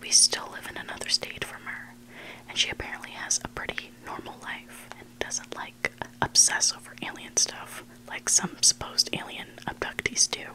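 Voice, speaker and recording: An ASMR host whispering scary stories in a hushed, unvoiced voice, close to the microphone. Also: moderate pace, rough recording. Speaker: ASMR host reading listener-submitted stories. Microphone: close microphone made for headphone listening.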